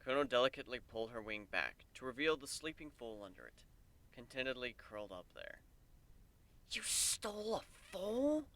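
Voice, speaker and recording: Bright-voiced, animated male storyteller. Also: medium pitch, brisk. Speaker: narrator. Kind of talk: dramatic story reading.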